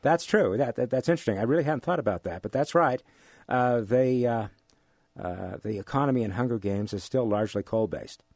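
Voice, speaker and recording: An older man with an American accent explains things in an interview, haltingly, repeating phrases for emphasis. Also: medium pitch, darkish tone, no room sound, studio quality. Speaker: economics instructor giving an interview.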